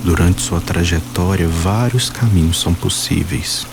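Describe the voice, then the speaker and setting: A man guiding a meditation, speaking calmly and slowly in short phrases with long pauses. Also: sits low, vocal fry, heavy background noise. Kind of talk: guided meditation. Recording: voice-over with music and water sounds. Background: soft music, rain and running water.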